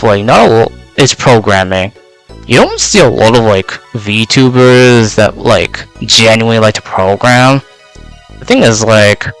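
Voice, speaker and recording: Rambling young man with a smooth voice. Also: fairly low pitch, fairly bright tone, low-quality recording. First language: Vietnamese. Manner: rambling, full of filler words. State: slightly worn-out voice. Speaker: VTuber. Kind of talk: casual in-character self-introduction.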